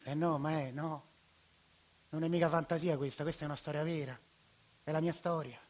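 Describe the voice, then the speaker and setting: A man voicing an excited young schoolboy who tells his family's story in a radio stage monologue. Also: fairly high pitch, a little fast, close to an everyday voice. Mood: earnest. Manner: breathless, eager storytelling.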